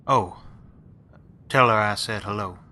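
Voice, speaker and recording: A man's voice: on the low side, a little slow, sharp tone. A man voicing a bored, sullen husband in an amateur radio play, talking curtly. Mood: distracted. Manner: distracted, curt, then bitter.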